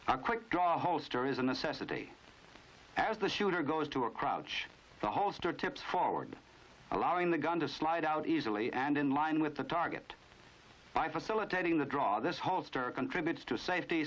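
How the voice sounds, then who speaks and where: A dull, dated 1950s film soundtrack carries an American man's narration. His voice sits a little high for a man, and he delivers formal instruction with authority, in the scripted style of a mid-century training film. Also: sing-song, normal speed, a bit bright.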